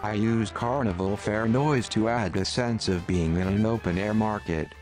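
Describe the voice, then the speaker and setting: A man reading a scripted tutorial voice-over in a measured, instructional manner. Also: fairly low pitch, music in the background. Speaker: tutorial narrator.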